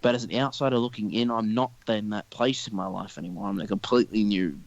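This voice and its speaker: Muffled lo-fi mic, young guy with a lowish voice, rambly sweary podcast chat. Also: steady tone, fast, creaky, dry recording. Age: young adult. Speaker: podcast host. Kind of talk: casual chat.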